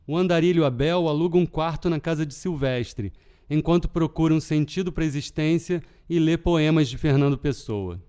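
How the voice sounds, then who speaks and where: Male podcast host, Carioca accent with a European Portuguese lilt, fairly high voice, deliberate scripted reading. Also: mellow, clean tone. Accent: Rio de Janeiro Portuguese with European Portuguese touches. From Brazil. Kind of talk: scripted literary podcast narration.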